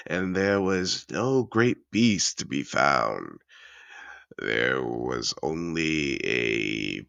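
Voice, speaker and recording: A man's voice: very deep voice, creaky, pushed and bright, no echo, full character voice. A man playing a suave, possessive, wealthy dragon in human disguise, telling his story in a refined, theatrical manner in a scripted fantasy audio roleplay.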